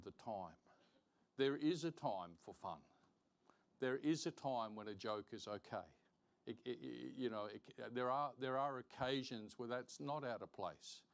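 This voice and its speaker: Man preaching, emphatic and deliberate. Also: mid-range voice. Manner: emphatic, deliberate, repeats phrases for stress. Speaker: preacher teaching Sunday school. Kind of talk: sermon, Bible teaching with verse reading. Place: church, live-streamed service.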